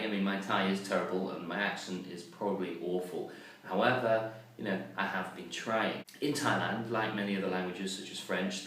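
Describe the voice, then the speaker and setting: Deep-voiced man, echoey and distant. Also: a bit bright. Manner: chatty, self-mocking, with frequent ums and uhs. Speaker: vlogger. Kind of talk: casual vlog talk to camera. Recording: very echoey, distant mic, faint noise.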